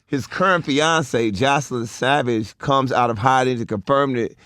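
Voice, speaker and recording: A man's voice: medium pitch, normal speed, a bit bright, no echo, clean studio sound. A man co-hosting a casual podcast, reading out news items and hedging his takes. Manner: reads headlines, then hedges his opinions. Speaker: podcast co-host. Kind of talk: casual group chat.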